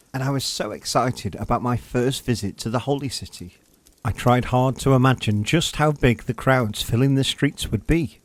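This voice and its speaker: A man's voice: medium pitch, a little hiss or hum. A man narrating a scripted story for children.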